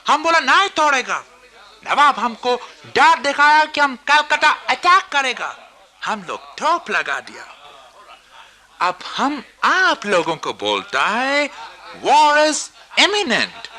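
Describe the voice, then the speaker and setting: A bombastic British colonial trader in a radio play, very high and swooping in pitch. He declaims slowly in broken, English-accented Hindi, persuading and scheming in a piercingly bright voice. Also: exaggerated voice. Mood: emphatic, warning. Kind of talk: theatrical radio drama acting.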